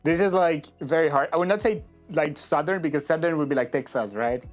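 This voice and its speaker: Male streamer host, French accent, playful and chatty, upper-middle pitch, light music bed. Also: fairly quick. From France. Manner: playful, laughs often. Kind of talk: casual chat.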